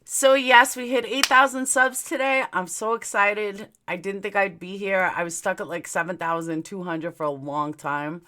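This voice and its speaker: Casting: middle-aged female livestream host, New York accent, bright forceful voice, blunt, sweary, rambling chat, slight aligner-affected articulation. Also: mid-range voice, fairly even intonation, normal speed, few pauses. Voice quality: speech slightly affected by clear dental aligners. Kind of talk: casual livestream chat with viewers.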